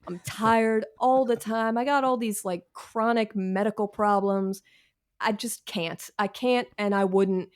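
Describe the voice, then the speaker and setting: A woman's voice: mid-range voice, a little mellow. A woman in her thirties talking candidly as an interview guest, frank, slangy and self-deprecating. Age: 30s. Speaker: author, podcast guest.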